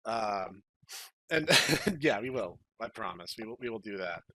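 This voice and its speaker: A man hosting a podcast with playful banter, talking in a mock-grandiose, theatrical way and often repeating words haltingly as he goes. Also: lowish voice, expressive, a bit bright, no room sound. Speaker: podcast host.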